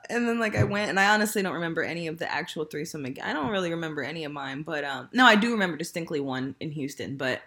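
A woman's voice: deep voice, animated, fast, hardly any pauses, pushed and bright. A woman in her thirties hosting a casual podcast, talking frankly and laughing often, with lots of fillers. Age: 30s. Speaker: podcast host. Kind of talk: candid casual chat.

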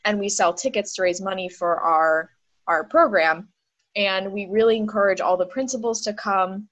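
Encouraging woman interviewer, mid-pitched. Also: moderate pace, fairly bright tone, lo-fi sound. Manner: encouraging, long run-on explanations. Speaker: interviewer, music teacher. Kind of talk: casual conversational interview.

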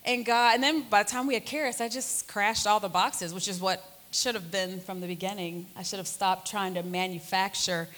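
A woman's voice: mid-range voice, moderate pace, hardly any pauses, a bit bright. A woman in her forties hosting a faith talk show, telling family stories earnestly and animatedly, with frequent fillers. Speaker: host.

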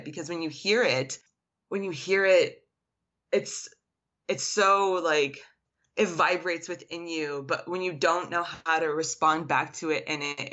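A woman's voice: low voice, a bit bright, highs cut off. A woman artist whose first language is Arabic, answering interview questions in an earnest, reflective way, with frequent "like" fillers. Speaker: artist guest.

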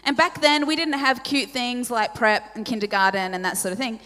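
A woman's voice: on the high side, normal speed. Australian woman preaching in a church hall. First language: Polish. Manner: animated storytelling, self-deprecating, asks the audience questions. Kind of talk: sermon.

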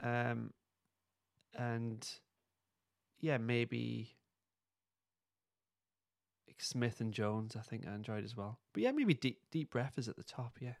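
A man's voice: medium pitch, takes it slowly, creaky, pauses often, dry recording, studio quality. A man co-hosting a podcast in loose, informal conversation. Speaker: podcast co-host. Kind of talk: casual chat.

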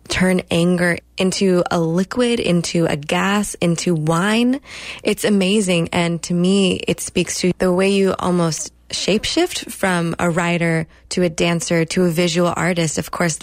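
A woman radio host interviewing a guest in a deliberate, appreciative way. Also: fairly low pitch, dry recording.

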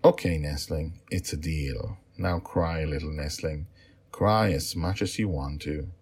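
A man voicing a deep-voiced, half-eagle half-man general who speaks softly and warmly to a child. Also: measured pace, slightly rough, exaggerated voice. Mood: soothing. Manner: soft, warm, soothing.

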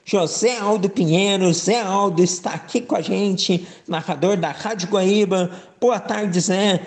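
Upbeat radio sports host, man, southern Brazilian accent, high voice. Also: a little slow. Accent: southern Brazilian Portuguese. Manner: upbeat announcer, formal introductions.

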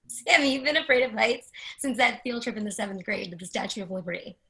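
An actress playing a friendly, oblivious young love interest in a play reading, conversational, with a creaky voice. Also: female voice, on the high side, fairly quick, sharp tone, rough recording. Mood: teasing.

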